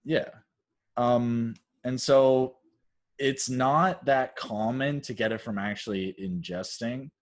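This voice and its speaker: A man's voice: mid-range voice, takes it slowly. A young man, co-hosting a casual podcast chat in a laid-back way with lots of fillers. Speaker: podcast co-host.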